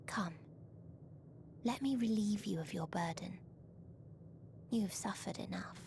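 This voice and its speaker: A woman playing a mournful, calmly merciless otherworldly being in a video game, speaking solemnly and breathily. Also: medium pitch, a little slow, vocal fry, slight background noise. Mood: bleak resignation. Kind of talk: scripted video game voice acting.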